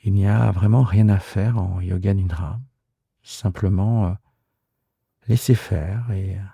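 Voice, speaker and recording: A man guiding a relaxation meditation in a soft, husky, gravelly voice, soothing and unhurried, with hesitant pauses. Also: sits low, steady tone, no room sound, studio quality. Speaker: yoga nidra guide and podcast host.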